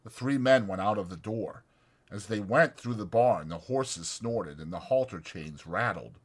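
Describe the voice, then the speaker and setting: A man's voice: fairly low pitch, lively intonation, unhurried, slightly rough. A man reading a novel aloud as dramatic, scripted audiobook narration.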